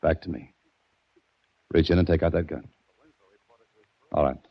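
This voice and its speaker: A man's voice: very deep voice, fairly even intonation, unhurried, no echo, heavily acted. An American actor playing a hunted innocent man on the run in a 1940s radio mystery, terse and desperate, with clipped hard-boiled delivery. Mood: commanding, wary. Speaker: lead actor and first-person narrator. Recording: muffled, noisy 1940s radio recording.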